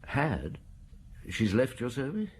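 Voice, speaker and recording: An actor playing a seasoned, middle-aged police inspector in a radio drama, talking in a measured, conversational, reflective way. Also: male voice, mid-range voice, wildly swooping pitch, breathy edge, heavily acted. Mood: calm questioning.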